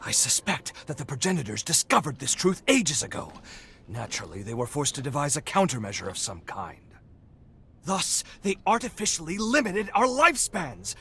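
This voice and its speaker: A man's voice: a little high, sing-song, moderate pace, creaky, a bit bright, heavily acted. A man playing a pompous, self-important immortal revenant scholar in a video game, lecturing in florid, theatrical style. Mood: dramatic lecturing. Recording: game music behind voice. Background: game music.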